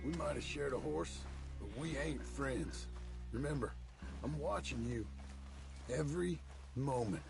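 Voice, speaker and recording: An actor playing a proud, hot-tempered young American outlaw in a video game, gravelly and combative. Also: male voice, medium pitch, expressive, a little slow, pauses often. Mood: quiet menace. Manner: defiant, combative. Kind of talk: video game drama acting. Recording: loud music and horse hooves behind.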